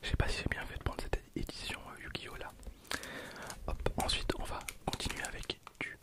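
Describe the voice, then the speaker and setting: Whispering young man, soft ASMR delivery. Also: normal speed. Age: 20s. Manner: soft, hesitant, repeats words and phrases. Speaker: ASMR video host. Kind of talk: whispered ASMR card-opening commentary.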